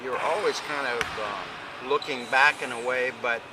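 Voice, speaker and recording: A man's voice: medium pitch, unhurried. American sports interviewer, man, noisy gym. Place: basketball fieldhouse. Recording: clear ambient noise of a gym.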